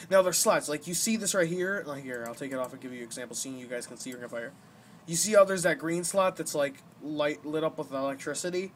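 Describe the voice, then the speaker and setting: A young man giving casual gameplay commentary, explaining things in a halting, rambling way as the host of a gaming video. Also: on the high side, a bit bright. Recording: noisy amateur home recording.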